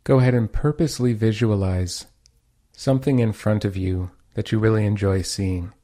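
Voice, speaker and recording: Calm guy doing guided meditation, deepish mellow voice, unhurried and soothing. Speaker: meditation teacher and host.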